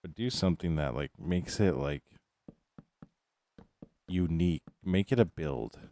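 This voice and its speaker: Young male streamer, deep voice, loose filler-heavy musing. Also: expressive, measured pace, warm tone, slight background noise. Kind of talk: casual gaming stream chat.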